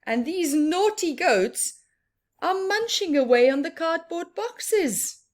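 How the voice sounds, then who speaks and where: A woman reading a picture book aloud to young children, interactive and expressive, with a sing-song, questioning manner. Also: extremely high pitch, takes it slowly. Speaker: children's story reader and teacher.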